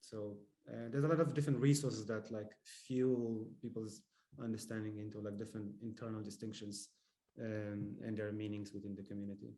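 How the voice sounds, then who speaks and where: Male panelist, lowish voice, quiet and tentative, filler-heavy academic talk. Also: level tone. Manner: soft, halting, filler-heavy. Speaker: panel speaker. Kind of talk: academic discussion. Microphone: video call.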